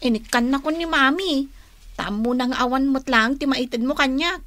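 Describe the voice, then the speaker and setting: A woman's voice: sits high, moderate pace, fairly bright tone. A woman voicing a young schoolchild in a comic radio drama, chirpy and teasing, with a childlike sing-song delivery and an Ilocano accent. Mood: pleading.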